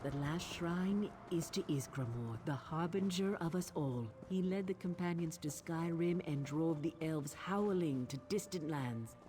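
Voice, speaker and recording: An actress playing a proud, earnest Nord storyteller in a fantasy game, speaking in a solemn, declamatory way. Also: female voice, deep voice, measured pace, few pauses. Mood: epic, solemn. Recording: game music loud behind the voice.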